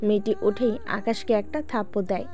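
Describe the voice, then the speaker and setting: A woman's voice: mid-range voice, fairly even intonation, fairly quick, a little mellow, soft background music. A woman narrating an audio drama in a plain storytelling manner, speaking directly to her listeners.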